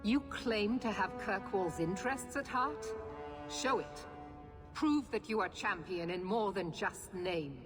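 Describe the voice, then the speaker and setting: An actress playing a stern, zealous knight commander in a video game, formal and imperious. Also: female voice, medium pitch, unhurried, close to an everyday voice. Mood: imperious, challenging. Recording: long hall reverb, music behind.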